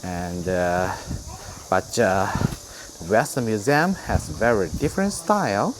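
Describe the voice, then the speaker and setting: A man from China with a Chinese accent narrates an informal museum tour vlog in halting, simple non-native English. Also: fairly low pitch, lively intonation. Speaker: vlogger giving a museum tour. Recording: clear background noise, on-location ambience.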